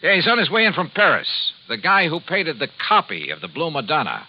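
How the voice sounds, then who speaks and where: An actor with an American accent playing a wry, self-assured hardboiled insurance investigator in a 1950s radio detective drama. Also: male voice, on the high side, wildly swooping pitch, a little fast, slightly rough, full character voice. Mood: brisk, self-assured. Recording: muffled, hissy old radio recording.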